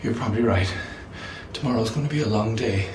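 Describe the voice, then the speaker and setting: An Irish actor playing an embattled, weary newspaper reporter in a radio drama, terse and sardonic. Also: male voice, fairly low pitch, a little fast, noisy background, close to an everyday voice. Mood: weary, evasive. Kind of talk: naturalistic radio drama acting.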